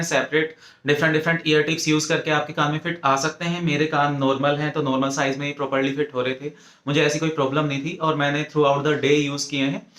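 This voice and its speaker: A man's voice: fairly high pitch, fairly bright tone. A man with an Indian accent giving an informal, methodical product review, explaining point by point and mixing in English phrases. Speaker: tech reviewer presenting an earphone review. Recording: echoey, roomy sound.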